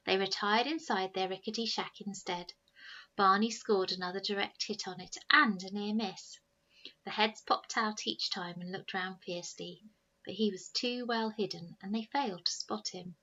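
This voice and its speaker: A woman reading a children's story aloud in an expressive storytelling style, like a teacher reading to pupils. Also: mid-range voice, highs cut off.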